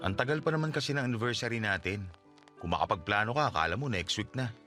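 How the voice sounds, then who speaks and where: A man's voice: fairly low pitch, lively intonation, quick pace, pushed and bright, soft background music. A man with a Filipino accent playing a fed-up husband in his thirties in a radio drama, terse and dismissive. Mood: curt, uninterested.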